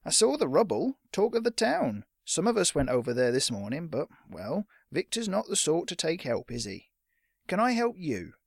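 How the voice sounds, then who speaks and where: A man's voice: fairly high pitch, huge pitch swings, moderate pace, creaky, no room sound, clean studio sound, voice pushed up, full character voice. A man voicing a fat, suspicious village shopkeeper in a storybook, brusque and haughty. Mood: politely nosy.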